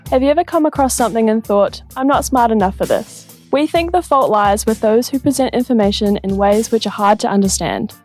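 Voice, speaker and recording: A woman's voice: on the high side, a little fast, hardly any pauses, soft background music. A woman co-hosting a podcast, reading the show's intro and asking the guest easy questions. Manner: clear scripted reading, then quiet and tentative. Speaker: podcast co-host. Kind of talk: scripted podcast intro, then casual questions.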